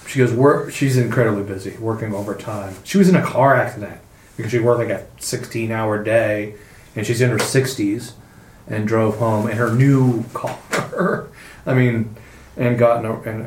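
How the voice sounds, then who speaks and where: A man in his fifties co-hosting a podcast in casual chat, easygoing and quick to laugh. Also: on the low side, steady tone, a little mellow. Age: 50s. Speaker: podcast co-host. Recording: roomy room sound.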